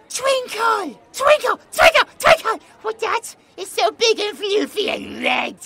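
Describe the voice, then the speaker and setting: A man's voice: extremely high pitch, very animated, slow, creaky, background noise, exaggerated voice. A British man voicing a childlike possessed young man who talks in broken baby-talk about himself in the third person, in an ASMR roleplay. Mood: childlike, excited.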